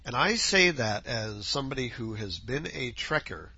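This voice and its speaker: A man's voice: mid-range voice, big pitch swings, moderate pace, sharp tone, husky, dry recording, highs cut off. A man hosting his own podcast, chatting casually and rambling, his voice scratchy and a little worn from breathing dust. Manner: rambling, chatty, self-correcting. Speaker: podcast host. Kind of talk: casual solo podcast chat. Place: home, outdoor noises faintly heard.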